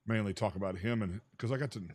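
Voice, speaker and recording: Male show host, easygoing and chatty, slightly low voice. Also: fast talker, darkish tone, dry recording. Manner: laid-back, lots of fillers and "man". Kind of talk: casual interview chat.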